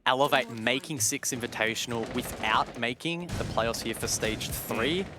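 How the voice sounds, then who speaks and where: A man's voice: mid-range voice, normal speed, background noise. A man giving live esports colour commentary, breaking down tactics and odds and lifting sharply on big plays. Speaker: esports analyst caster.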